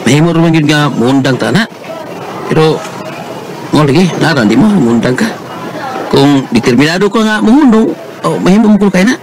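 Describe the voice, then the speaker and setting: An actor playing an earnest friend with a Filipino accent in a radio drama. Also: male voice, a little high, expressive, moderate pace, pauses often, a little mellow, natural voice. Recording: noisy, with street-like ambience behind.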